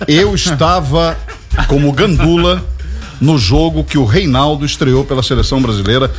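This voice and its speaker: A man from Salvador sits on a radio panel, trading jokes and playful boasts. Music plays clearly behind him. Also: fairly high pitch, moderate pace. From Salvador, Brazil. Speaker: radio co-host.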